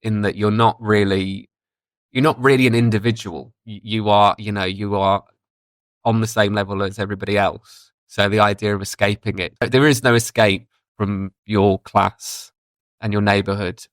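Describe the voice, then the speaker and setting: Low-voiced British man, reflective podcast guest. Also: flat delivery, normal speed, no room sound. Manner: reflective, explanatory. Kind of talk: casual discussion.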